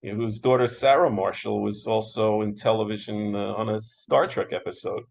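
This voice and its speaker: Casting: American man, moderator type, creaky voice, enthusiastic and agreeable in casual conversation. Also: lowish voice, steady tone, moderate pace, darkish tone. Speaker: interviewer, moderator. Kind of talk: casual interview chat about classic radio.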